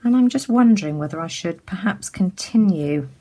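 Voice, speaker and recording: A woman from the UK giving a casual craft tutorial as she works, chatty and full of ums, her voice a little croaky. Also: on the low side, animated, normal speed, warm tone, slight background noise. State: slightly croaky. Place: indoors at a craft table.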